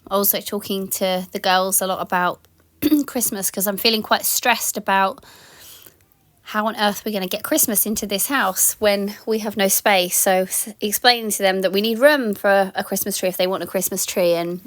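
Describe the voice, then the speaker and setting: A woman in her thirties talks through a home tidying vlog in a relaxed, rambling voice-over full of ums and yeahs. Her voice is slightly croaky from a sore throat, and the recording is dry and close with no room sound. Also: mid-range voice, moderate pace, dry recording. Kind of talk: casual voice-over chat.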